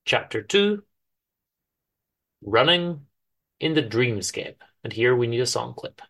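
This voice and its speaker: A man reads a story aloud as its narrator. His voice is bright and forward, of middling pitch. The delivery is dry and measured, with formal phrasing. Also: sing-song, gravelly. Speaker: author-narrator reading his own story on a podcast. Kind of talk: dramatic scripted story reading.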